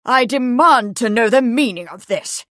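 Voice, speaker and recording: A woman's voice: medium pitch, fairly quick, husky, no room sound, lightly acted. A woman acts out a formidable older lord in a period audiobook. He is irascible and imperious, biting off his words, recorded close and dry. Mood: thundering anger. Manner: thundering, snapping, imperious. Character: formidable, irascible aristocratic father, older man.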